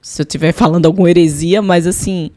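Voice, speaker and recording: A woman's voice: on the low side, fairly even intonation, fast, a little mellow. A woman with a Brazilian accent telling personal testimony as a podcast guest, emphatic, repeating phrases and voicing her own prayers.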